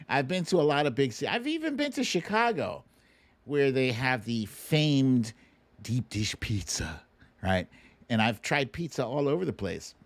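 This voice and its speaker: An American man hosting a live show with casual, joking commentary, speaking informally to his viewers. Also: lowish voice. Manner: informal, joking, laughs easily. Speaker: host of a live web show.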